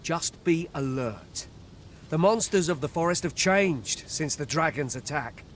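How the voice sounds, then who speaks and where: An earnest village guide from a fantasy game, protective of his brother. His voice sits a little high and. He speaks in plain, old-fashioned rustic phrases, with noisy ambience behind him. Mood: wary. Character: worried village man, protective older-seeming brother and forest guide. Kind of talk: scripted fantasy game dialogue in archaic English.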